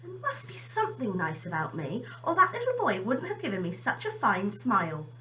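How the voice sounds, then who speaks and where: A woman's voice: mid-range voice, wildly swooping pitch, normal speed, pushed and bright, a little hiss or hum, poor audio. A woman reading a storybook as a lonely, cross old man musing to himself. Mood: musing, hopeful.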